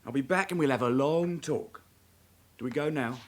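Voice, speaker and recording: An actor playing an unruffled young criminal with a casual, confident manner in a radio drama. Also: male voice, mid-range voice, normal speed, natural voice. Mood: breezy, cocky. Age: young adult. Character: cocky, unruffled young male London criminal, a son.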